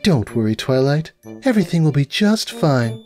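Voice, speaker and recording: A man voicing a timid, sweet, softly morbid female pony with a meek, hesitant manner in a cartoon story. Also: a little high, sing-song, moderate pace, soft background music, voice pushed up. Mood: sweetly serene. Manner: meek, hesitant, gently pleasant.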